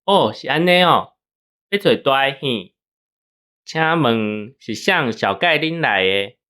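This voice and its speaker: A man's voice: medium pitch, lively intonation, slow pace, bright, forceful tone, smooth, pitched above the performer's own voice. A man playing a slick, eagerly obliging young gangster loan salesman with a glib Taiwanese-accented delivery in a radio drama. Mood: businesslike, polite.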